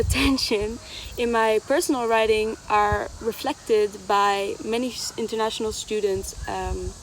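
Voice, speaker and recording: A nineteen-year-old woman student who grew up in Tanzania, introducing herself. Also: mid-range voice. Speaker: university student, columnist nominee. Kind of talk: self-introduction to camera. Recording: noisy outdoor ambience with insects.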